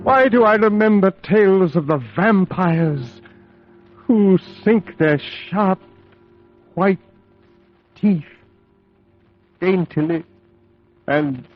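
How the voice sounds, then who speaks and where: An actor playing an earnest, uneasy young male solicitor in an old-time gothic radio play, measured and theatrical. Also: sits high. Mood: dazed, haunted. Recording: tinny, narrow vintage radio recording. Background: music.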